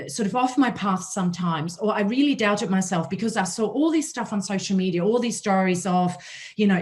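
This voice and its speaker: A woman with a German accent and a raspy voice, hosting a panel talk and telling her own story in a conversational way. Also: medium pitch. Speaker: host.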